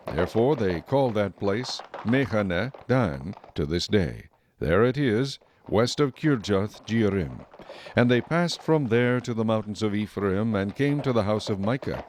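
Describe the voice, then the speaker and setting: Low-voiced man narrating scripture. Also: background noise. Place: dry studio.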